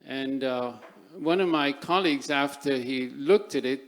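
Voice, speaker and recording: Gravelly older man giving a reflective talk. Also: mid-range voice, measured pace. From Trinidad. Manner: reflective, with frequent fillers and restarts. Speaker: panel speaker. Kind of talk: conference talk. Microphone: microphone at a live event.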